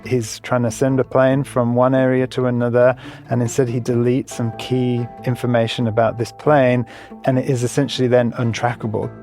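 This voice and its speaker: A man's voice: medium pitch, level tone, normal speed, a little mellow, soft background music. A man in the role of a reporter, explaining a story in an informal, conversational way. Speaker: reporter being interviewed.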